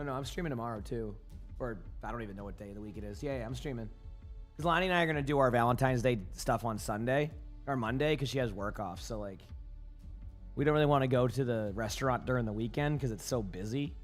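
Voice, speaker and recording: Hoarse guy streaming, chatting with chat, rambles and restarts a lot, a bit of music in the back. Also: medium pitch, animated, quick pace. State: hoarse, losing his voice. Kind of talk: casual chat with a live chat.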